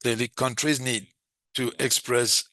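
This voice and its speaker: A man giving a live interpretation of a webinar discussion, speaking in halting, phrase-by-phrase chunks. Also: medium pitch, flat delivery, bright, forceful tone, no echo. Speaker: simultaneous interpreter.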